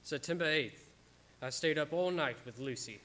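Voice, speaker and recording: A man's voice: fairly high pitch, normal speed, pushed and bright. Man playing an anguished, devoted doctor in radio drama. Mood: grave, narrating. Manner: stammering when distressed, dramatic. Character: anguished, devoted male doctor reading from his journals. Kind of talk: old-time radio drama acting and narration.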